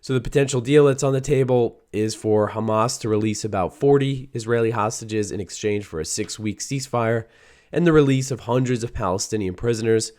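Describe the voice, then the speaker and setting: A man hosting a news show, talking through the day's stories in a conversational way with a hoarse, worn-out voice. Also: medium pitch, a little fast, darkish tone. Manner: conversational, reads quotes aloud, some restarts. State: hoarse, voice a little shot. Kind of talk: solo news commentary.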